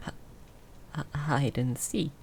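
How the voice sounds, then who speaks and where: A woman voicing a tiny timid mouse person in an improvised ASMR roleplay, soft and breathy, stammering nervously and often slipping into a whisper. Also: low voice, steady tone, heavily acted. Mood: shy, nervous. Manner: nervous stammering, halting and repeating words. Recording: faint background noise with rain ambience. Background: rain sounds.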